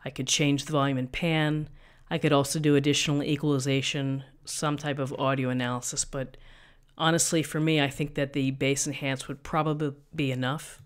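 Middle-aged woman, thin voice, calm step-by-step explaining, desk mic, tutorial host. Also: low voice, moderate pace, few pauses, smooth. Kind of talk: screen-recorded software tutorial. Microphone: USB desk microphone.

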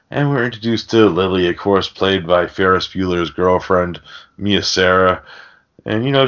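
A man with a fairly low voice hosts a casual film podcast. He rambles through a crude, comic, swear-laden recap. The sound is a little dull and lo-fi. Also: fairly bright tone. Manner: rambling, crude joking delivery with mimicked lines. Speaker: podcast host.

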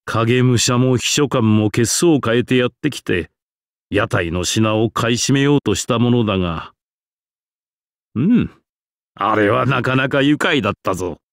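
A man's voice: medium pitch, expressive, a little slow, no room sound. A grand old king from a fantasy game. He speaks in a stately, archaic manner, proud yet genial. The sound is dry and clean. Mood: fond, amused. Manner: stately, archaic, self-satisfied. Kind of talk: Japanese game character voice acting.

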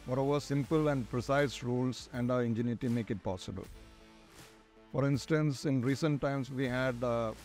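A man's voice: medium pitch, a little mellow. A middle-aged man with an Indian accent, giving prepared interview answers as an air traffic controller. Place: large glass-walled airport tower room. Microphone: lapel. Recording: music under the voice. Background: background music.

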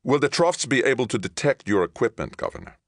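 A man's voice: medium pitch, big pitch swings, a little fast, no echo, clean studio sound, pitched above the performer's own voice. A man voicing a tense starship captain with a clipped military delivery in an audiobook. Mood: grim, urgent. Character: tense, terse male starship captain under pressure. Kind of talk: audiobook character dialogue.

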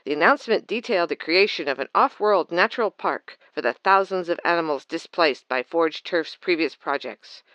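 A man's voice: a little high, lively intonation, normal speed, few pauses, bright, forceful tone, no room sound. A man voicing an earnest, formal radio news reporter in a sci-fi comedy audio drama. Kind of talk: scripted newscast in an audio drama.

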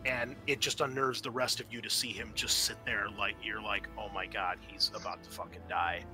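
Bright-voiced man running a tabletop game. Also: lowish voice, steady tone, normal speed, soft background music. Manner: informal, descriptive, salty language. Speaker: game master. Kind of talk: narrating a tabletop horror game, improvised.

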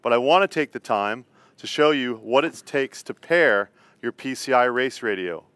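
Clear-voiced middle-aged American man explaining. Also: medium pitch, lively intonation, moderate pace, a bit bright, dry recording. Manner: plain-spoken, step-by-step explaining. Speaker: company co-founder presenting product tutorial. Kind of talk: instructional product walkthrough. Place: indoor studio set.

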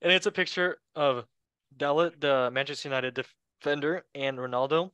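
A man hosting a casual sports chat show in a jokey, excitable way, speaking English as a second language. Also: on the high side, normal speed, clear, resonant tone, no room sound, muffled recording. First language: not English. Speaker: show host.

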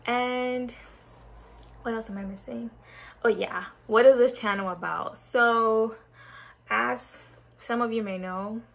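A woman's voice: medium pitch, a bit bright. A young woman from Ghana, talking casually to camera in a bedroom vlog, chatty and earnest with loose restarts. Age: young adult. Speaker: vlogger. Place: bedroom, indoors. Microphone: camera in front at close range.

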